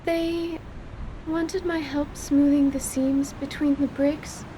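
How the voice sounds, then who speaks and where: A woman as a high-voiced, awkward young mage. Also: steady tone, dark tone, slight background noise. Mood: flustered, awkward. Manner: halting, formal, precise wording. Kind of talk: audio drama acting.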